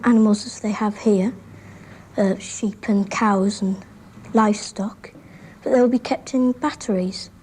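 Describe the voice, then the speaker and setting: Mellow, hesitant British teenage girl. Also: mid-range voice, steady tone, a little slow, slight background noise, poor audio. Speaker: young interviewee. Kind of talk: thoughtful interview answer. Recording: 1960s archival interview recording.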